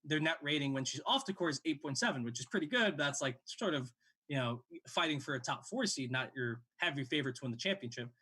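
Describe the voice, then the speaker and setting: A man's voice: medium pitch, lively intonation, quick pace, sharp tone, husky. A man in his late twenties hosting a sports show, giving casual game analysis in a conversational way.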